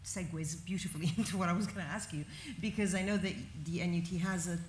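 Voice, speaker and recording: Low-voiced woman moderating a panel. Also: fairly even intonation. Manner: measured, courteous questioning. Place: conference hall. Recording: echoey hall with faint noise.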